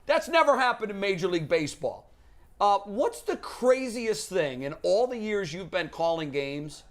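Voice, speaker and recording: A man's voice: high voice, very animated, normal speed. An American man on a sports show panel, leading up to interview questions conversationally.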